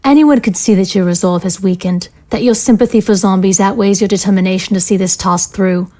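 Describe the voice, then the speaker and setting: A woman's voice: mid-range voice, warm tone, close to an everyday voice. A woman acting in an audio drama as a cold, ruthless scientist in authority, speaking in formal, sardonic phrases. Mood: coolly accusing. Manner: formal, sardonic, measured wording.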